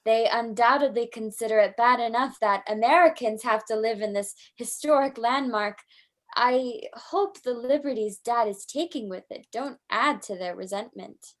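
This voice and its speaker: A woman's voice: fairly high pitch, normal speed, natural voice. A woman playing a gracious, courteous young daughter in an old-time radio style drama. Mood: apologetic, courteous. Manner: courteous, proper. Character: polite American daughter, young gracious new manor owner.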